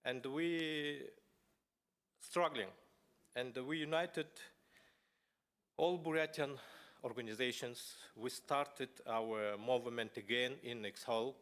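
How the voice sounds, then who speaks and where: A man from Buryatia speaking English as an at a conference, telling his personal story in a halting way with many pauses and fillers. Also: mid-range voice, fairly even intonation, a bit bright. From Buryatia, Russia. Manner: halting, many fillers and restarts, non-native phrasing. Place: parliament conference hall.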